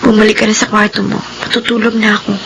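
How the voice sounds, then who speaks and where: An actress with a Filipino accent playing a troubled young sister in a radio drama, guarded and curt. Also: female voice, medium pitch, level tone, fast talker, vocal fry, fairly bright tone, a little hiss or hum, low-quality recording. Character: troubled young daughter, guarded with her brother.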